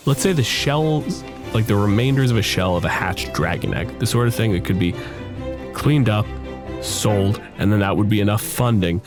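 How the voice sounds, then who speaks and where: A man's voice: on the low side, expressive, moderate pace, music in the background. A man improvising tabletop game narration as game master in a casual, wry, conversational way.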